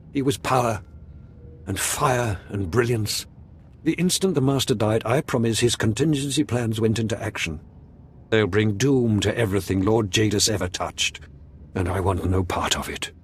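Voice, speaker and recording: A man's voice: mid-range voice, breathy edge, lightly acted. An actor playing a frightened, paranoid old man in a video game, theatrical and panicked in manner. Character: frightened, paranoid old man, former advisor to a dark lord.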